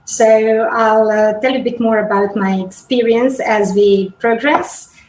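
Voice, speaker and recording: A woman's voice: mid-range voice, a little slow, roomy sound, a little hiss or hum, low-quality recording. A woman in her thirties from Serbia, a guest telling her story in a casual interview, hesitant, with many ums and restarts.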